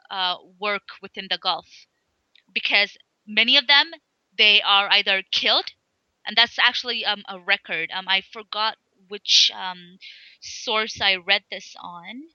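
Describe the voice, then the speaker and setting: Bright-voiced woman, halting speech. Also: medium pitch, no echo, muffled recording. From Sri Lanka. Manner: halting, many fillers and restarts. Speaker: interview guest, blogger. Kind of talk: casual interview talk.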